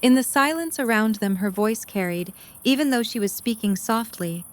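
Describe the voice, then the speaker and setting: A woman's voice: on the high side, lively intonation, normal speed. A woman reading scripted third-person story narration for an audio drama.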